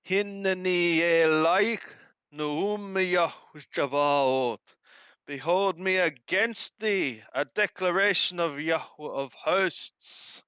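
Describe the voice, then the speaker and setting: A man reading scripture aloud and translating it phrase by phrase, halting and deliberate, correcting his wording as he goes. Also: on the high side, sharp tone, smooth, dry recording. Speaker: amateur Bible reader and translator. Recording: dull lo-fi close recording.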